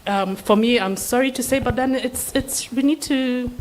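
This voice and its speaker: A woman's voice: on the high side, moderate pace, slight background noise. Earnest woman panelist, many restarts. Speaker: seminar panelist. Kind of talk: unscripted panel answer. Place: seminar room.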